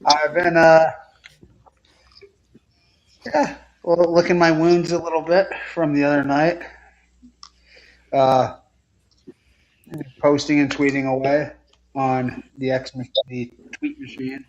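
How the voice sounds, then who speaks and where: A man chatting casually and haltingly as a panelist on a live sports show. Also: on the high side, steady tone. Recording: muffled lo-fi audio with clear noise.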